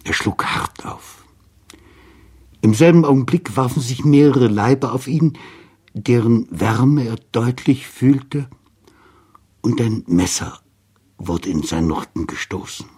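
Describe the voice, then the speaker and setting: Slow-paced man reading literary prose. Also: medium pitch, animated, darkish tone, husky. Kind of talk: scripted literary narration.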